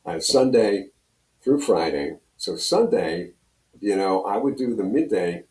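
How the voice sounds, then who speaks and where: Older man, American accent, low mellow voice, rambling storyteller, casual interview guest, off-mic roomy sound. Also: lively intonation, a little slow. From Pennsylvania, United States. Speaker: guest, retired radio personality. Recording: roomy echo, distant from mic.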